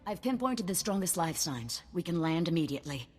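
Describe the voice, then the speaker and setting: A woman's voice: fairly low pitch, big pitch swings, brisk, darkish tone, soft background music. A woman playing an earnest, dutiful starship officer in a sci-fi video game, urgent and principled. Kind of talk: dramatic video game acting.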